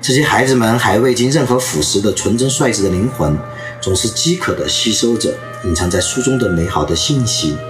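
Animated male storyteller giving a lecture. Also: medium pitch. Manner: lively storyteller who acts out anecdotes.

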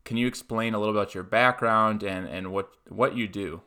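A man hosting an interview podcast, with a friendly scripted intro and then relaxed questions. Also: lowish voice, a little fast, clean tone. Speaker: podcast host. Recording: music playing behind.

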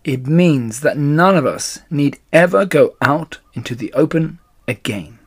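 A man's voice: fairly high pitch, expressive, measured pace, breathy edge, exaggerated voice. A man voicing a confident, charismatic fox leader making persuasive speeches in a children's story.

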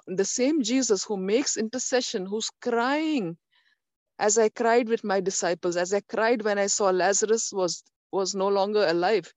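A woman delivering a prophetic message in the first person, slow and solemn, with frequent pauses and restarts. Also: mid-range voice, a little mellow, no echo. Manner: slow, solemn, pausing often. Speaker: prophetic speaker. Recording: dull, muffled, dry sound.

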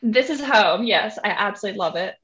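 A woman's voice: medium pitch, lively intonation, a little fast, fairly bright tone. A young woman with a Hong Kong English accent, chatting as an interview guest, giggling often and saying "like" a lot. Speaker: podcast guest. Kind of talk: casual interview chat.

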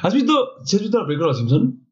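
A man's voice: high voice, very animated. A young man with a Mexican Spanish accent, co-hosting a podcast in casual chat, blunt and full of swearing. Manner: blunt, swears freely, quick asides. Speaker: podcast co-host. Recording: dull lo-fi podcast audio.

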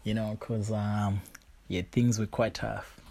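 A man's voice: on the low side, slow, vocal fry, background noise. A young man from Zimbabwe hosting his own show, talking casually about his life with lots of fillers. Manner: relaxed, rambling, frequent fillers like "you know". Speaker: podcast host. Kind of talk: casual personal monologue.

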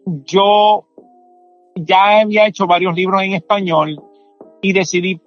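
A man's voice: high-pitched, steady tone, unhurried, dull audio, faint music behind. A man with a Puerto Rican accent whose first language is English, explaining his book at length in a casual interview and checking in with "you know?". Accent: Puerto Rican Spanish. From Puerto Rico. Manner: explains at length with many restarts and tags like "¿me entiendes?". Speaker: author and performance coach.

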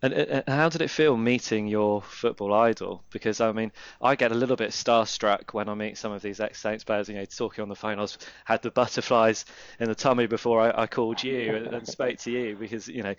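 Guy interviewing someone, rambly chatty questions, lowish voice, kinda muffled dry sound. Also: steady tone, fairly quick, hardly any pauses, no echo. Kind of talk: casual interview.

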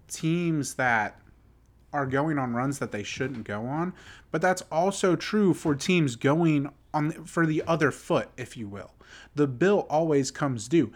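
A man in his twenties co-hosting a sports podcast, his pitch swinging widely as he works himself up. He rants in exasperated bursts, full of fillers and restarts, half joking and half sincere. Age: 20s. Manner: heated, exasperated ranting with lots of "like" fillers. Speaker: podcast co-host. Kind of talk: casual sports chat.

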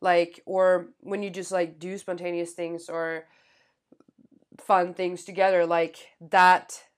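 A young woman co-hosting a podcast, talking fast and animatedly with lots of fillers. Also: on the low side, little pitch movement. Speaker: podcast co-host. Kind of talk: casual chat.

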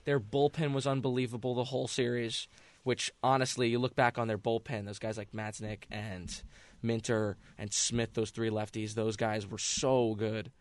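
A man's voice: mid-range voice, flat delivery, dry recording. Emphatic young man arguing sports. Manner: emphatic, quick to argue. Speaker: co-host, Mets fan. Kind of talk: casual sports talk.

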